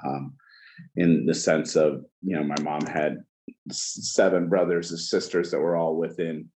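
A man from Egypt, a chef, telling his backstory in a casual interview, halting with many fillers. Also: very deep voice, flat delivery, darkish tone, a little hiss or hum, poor audio. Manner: halting, many fillers like "you know", laughs often. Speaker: chef, guest.

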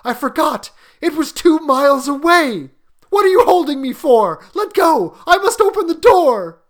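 A narrator voicing a frantic, grieving old mother in a shrill, strained scream for a dramatic story reading. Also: very animated, unhurried. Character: hysterical desperate old woman, a grieving mother.

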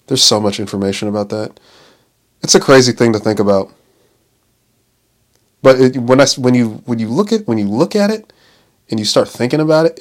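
A man in his late twenties talking in a casual, rambling monologue, swearing often, restarting his sentences and laughing at himself. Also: medium pitch. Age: 20s. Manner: profane, self-interrupting, laughs often.

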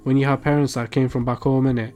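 Young British male co-host, casual and slangy, emphatic delivery, medium pitch, soft music underneath. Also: level tone, fast talker. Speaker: podcast co-host. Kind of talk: casual podcast chat.

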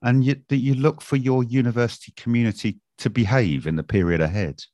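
A man hosting a podcast, measured, with fillers and repeated words. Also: medium pitch, dark tone, no echo, studio quality. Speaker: podcast host. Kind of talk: podcast interview and commentary.

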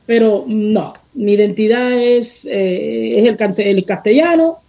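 A woman's voice: fairly high pitch, takes it slowly, mellow, dull audio. A Cuban woman singer with a Cuban Spanish accent, answering interview questions with passion and emphasis, sometimes hunting for a word. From Cuba. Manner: passionate, emphatic, searches for words. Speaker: singer guest.

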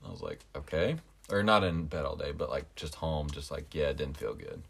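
A young man casually telling a story as a podcast guest, relaxed and rambling with lots of filler. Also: rumbling low, brisk, gravelly, husky.

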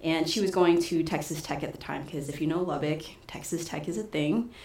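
A woman who coaches and promotes boxing, telling her story in a soft-spoken, casual way. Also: sits low, fairly quick. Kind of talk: casual interview answers. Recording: echoey room.

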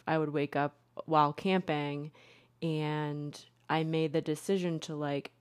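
A young woman talks through her own podcast in a low, unhurried voice. She's chatty and a little self-mocking, circling back with fillers and restarts. The sound is close and dry, with no room around it. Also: smooth, dry recording. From Connecticut. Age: young adult. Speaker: podcast host, therapist. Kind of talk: casual solo podcast talk.

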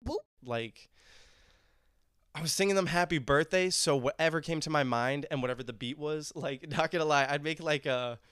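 A young man chatting on a podcast in a loose, rambling way, laughing often. His voice is smooth and resonant, recorded close and dry with no room sound. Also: fairly high pitch, normal speed, fairly bright tone, no echo. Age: young adult. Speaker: musician guest. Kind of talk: casual podcast chat.

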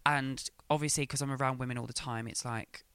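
A man's voice: mid-range voice, steady tone, brisk, fairly bright tone, smooth, no room sound. A man with a light, feminine-sounding voice, chatting camply as a podcast guest, with lots of fillers. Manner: chatty and camp, with frequent fillers and bursts of laughter. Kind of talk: casual chat. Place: restaurant.

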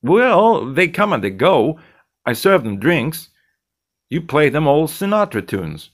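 A man's voice: fairly high pitch, very animated, slow pace, pitched above the performer's own voice, full character voice. A man voicing a slick, blunt male bartender who talks in a teasing, lecturing way.

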